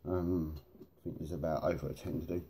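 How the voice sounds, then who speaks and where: A man's voice: sits low, little pitch movement, fairly quick, warm tone, a little hiss or hum. A man hosting a casual, rambling hobby vlog in informal, matey slang, with a sniffly, blocked nose. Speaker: host of a hobby video series.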